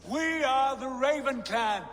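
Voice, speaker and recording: Proud angry young viking son, really high forceful voice, big echo, music behind. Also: male voice, slow, full character voice. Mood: defiant, rousing. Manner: forceful, indignant declaiming. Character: hot-headed, proud young Viking prince, the jarl's son. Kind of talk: dramatic scripted game dialogue. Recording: long echoing hall reverb, music behind.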